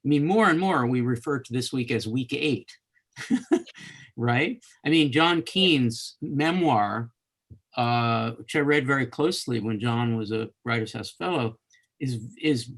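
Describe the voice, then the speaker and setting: A man's voice: medium pitch, expressive, measured pace. A man hosting an unscripted live webcast discussion, talking conversationally and rephrasing himself as he goes. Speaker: host of a live literature course webcast.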